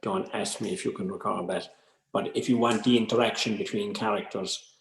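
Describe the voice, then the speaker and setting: A man's voice: on the low side, fairly even intonation, brisk, creaky. An Irish man explaining his suggestions in casual chat, on roomy, echoing call audio. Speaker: workshop speaker offering suggestions.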